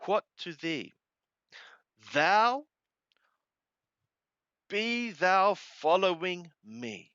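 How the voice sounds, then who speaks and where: A man reads scripture aloud and translates it in short, literal phrases. His delivery is extremely slow and emphatic, with very lively swings in pitch. The voice is clean and resonant, with halting, deliberate phrasing. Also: clear, resonant tone, no echo, dull audio. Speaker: Bible reader and translator.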